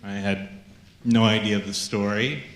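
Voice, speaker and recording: A man's voice: on the low side, fairly even intonation, moderate pace. A man in the audience asking questions after a screening, friendly and laughing as he speaks. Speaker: audience member. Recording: echoey hall with faint noise.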